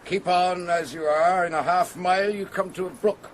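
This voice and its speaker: An actor playing a sturdy, guarded forest husbandman in a radio drama, terse, suspicious and unhurried. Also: high voice, steady tone, slight background noise, natural voice. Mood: gruffly helpful.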